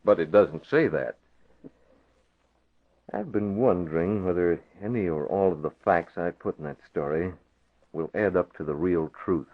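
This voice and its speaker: An actor playing a determined newspaper publisher, measured and thoughtful, in an old-time radio drama. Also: male voice, sits low. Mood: resolute, musing. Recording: tinny old radio recording.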